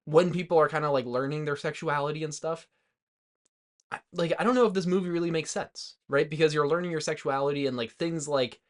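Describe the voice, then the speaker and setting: A man co-hosting a podcast, chatting casually about movies in a loose, hesitant way with lots of "like". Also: a little high. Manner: hesitant, loosely phrased, frequent "like". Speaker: podcast co-host.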